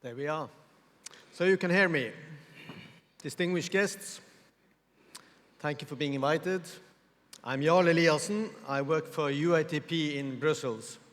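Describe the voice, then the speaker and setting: A man from Norway gives a formal conference presentation in English, measured and pausing often to find his words. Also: fairly high pitch, fairly bright tone. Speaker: conference speaker. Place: large conference hall. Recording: reverberant hall with long echo.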